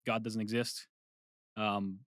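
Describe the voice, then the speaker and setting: Relaxed young man, chatty and rambling. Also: on the low side, slow pace, no room sound, clean studio sound. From Brazil. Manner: relaxed, rambling with restarts and asides. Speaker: guest, film composer. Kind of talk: casual interview chat.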